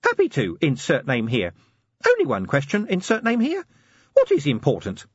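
A man voicing a tiny, eager, pedantic male imp in a very high, prim voice. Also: huge pitch swings, moderate pace, slightly rough, no echo, muffled recording, exaggerated voice. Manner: prim, fussy, very high-pitched.

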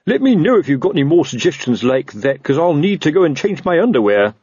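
A man's voice: mid-range voice, very animated, darkish tone, breathy edge, lo-fi sound, voice pushed up. Man as a miserable, moaning police sergeant. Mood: bitter. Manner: moaning, halting, rambling explanations. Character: anxious, miserable, long-suffering male police sergeant.

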